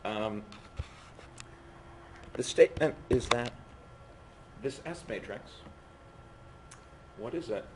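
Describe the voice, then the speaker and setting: A man giving a physics lecture while losing his voice, speaking haltingly and often breaking off mid-phrase. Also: medium pitch, animated. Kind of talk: university lecture at a board. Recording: noisy classroom recording.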